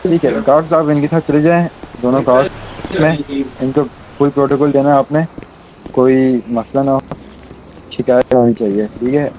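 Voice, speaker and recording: A man improvising roleplay as a wealthy, generous businessman host, speaking politely and haltingly with repeated phrases. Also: medium pitch, steady tone, a crowd in the background, poor audio. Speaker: roleplay player. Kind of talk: improvised in-character roleplay dialogue.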